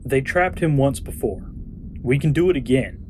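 A man's voice: medium pitch, animated, moderate pace, a little mellow, a little hiss or hum, natural voice. Man playing a blunt, defiant young hero. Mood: defiant, wary. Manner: blunt, sardonic. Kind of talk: audio drama acting.

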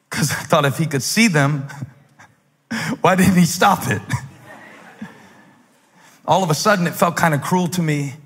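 A husky, fairly high-pitched man preaching from a big church stage, his handheld mic carrying a long ringing reverb. He moves from quiet storytelling to loud, fervent emphasis. He is a pastor in his forties. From United States. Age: 40s. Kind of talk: sermon. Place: large stage in a church hall.

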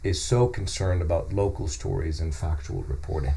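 A man's voice: low-pitched, normal speed, gravelly, warm tone. A middle-aged man playing a modest, earnest journalist being interviewed on a scripted podcast. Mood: earnest explaining. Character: modest, earnest male wire-service journalist. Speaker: guest journalist. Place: small furnished podcast studio. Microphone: studio microphone on a boom arm.

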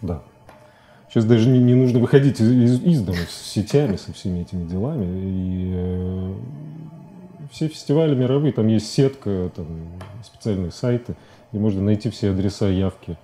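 Low, very mellow-voiced man, halting delivery. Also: soft background music. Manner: halting, thinking aloud, colloquial. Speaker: interview guest, animation filmmaker. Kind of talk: casual studio interview talk. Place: TV studio, indoors.

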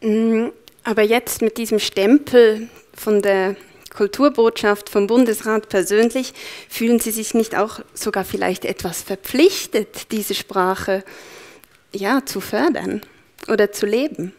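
A woman's voice: medium pitch, unhurried, darkish tone. A woman with a Swiss accent moderating a panel discussion and asking long, winding questions. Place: event room with large windows.

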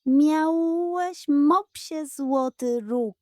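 A woman's voice: sits high, drawn-out words, darkish tone, no echo, clean studio sound, voice pushed up. A woman voicing a haughty, sly male cat with a drawl in a children's audio story. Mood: sly, gloating.

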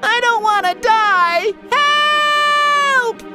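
A high, swooping cartoon voice for an eager garbage truck. The delivery is dramatic and emotional, drawn out at a slow pace, with music underneath. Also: heavily acted. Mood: panicked. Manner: excitable, dramatic. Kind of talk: children's cartoon acting.

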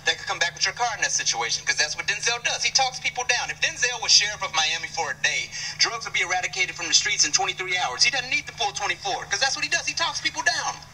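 A man in his thirties, from Chicago, doing a lively stand-up set with a handheld mic on a large stage. His voice sits high and sounds very bright. He is heard from some distance, with faint room noise behind. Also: fast talker, hardly any pauses. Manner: animated, conversational, playful teasing of the crowd. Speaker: stand-up comedian. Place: stage in a large indoor venue. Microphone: handheld microphone.

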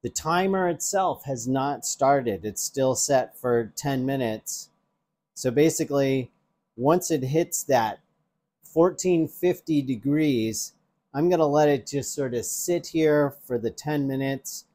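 A man's voice: mid-range voice, slow pace, dark tone. Casual man demoing a kitchen gadget. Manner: chatty, explains while handling gadgets, frequent ums and restarts. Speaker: tech reviewer. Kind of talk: casual product demo. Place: home kitchen by an open window. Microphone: lapel mic.

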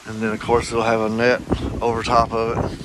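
A man's voice: on the low side, monotone, a little fast. Folksy man walking his farm. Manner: rambling, folksy, thinking aloud with many fillers. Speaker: farm owner vlogger. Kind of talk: casual walkaround vlog. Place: outdoors on a farm. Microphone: phone held while walking. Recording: wind noise on phone mic outdoors. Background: wind and outdoor ambience.

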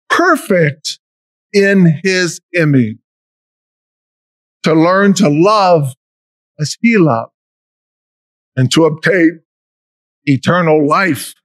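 An older American man, a deacon, preaching a homily from a lectern in a large church, in a slow, measured way with emphatic rises. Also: high voice, fairly bright tone, no room sound. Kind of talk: sermon.

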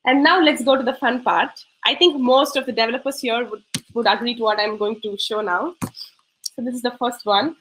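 A woman's voice: a little high, steady tone, fairly quick, fairly bright tone, a little hiss or hum, poor audio. A woman presenting her coding project on a video call. She laughs often and speaks in an earnest way. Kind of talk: online presentation talk.

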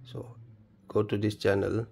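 A man presenting a step-by-step tutorial in a plain, halting, instructional manner. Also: fairly low pitch, flat delivery, gravelly, darkish tone, a little hiss or hum. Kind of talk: step-by-step spoken tutorial, unscripted.

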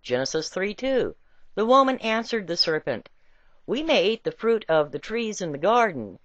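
A woman reading a scripted essay with quotations in a deliberate, emphatic and wry storytelling way. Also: medium pitch, very animated, no echo, highs cut off. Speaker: reader.

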